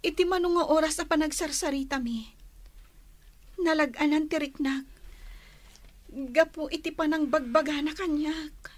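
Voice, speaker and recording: An actress playing a troubled, hurt young daughter in a Filipino radio drama. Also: female voice, sits high, moderate pace, pauses often, no echo, close to an everyday voice. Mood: hurt, earnest.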